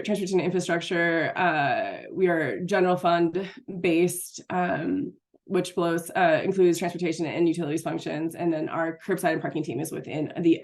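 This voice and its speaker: A woman presenting in a webinar, her voice raspy as she recovers from an illness, talking in a halting way with frequent ums and self-corrections. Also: lowish voice, darkish tone. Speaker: city parking program manager presenting.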